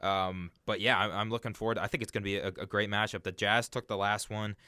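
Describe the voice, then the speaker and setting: Low-voiced young man, casual sports chat. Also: flat delivery, quick pace, pushed and bright, clean tone, no room sound, clean studio sound. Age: young adult. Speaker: sports radio co-host.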